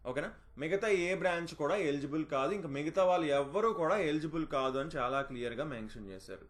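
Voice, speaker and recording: A man's voice: fairly high pitch, fairly bright tone. A man giving an informal, instructive explainer, mixing in English terms and often checking that listeners follow. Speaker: job notification presenter.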